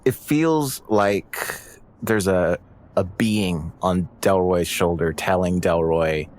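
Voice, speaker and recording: Deep-voiced man improvising a story. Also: slow pace, darkish tone. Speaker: player narrating own character. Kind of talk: improvised tabletop game storytelling.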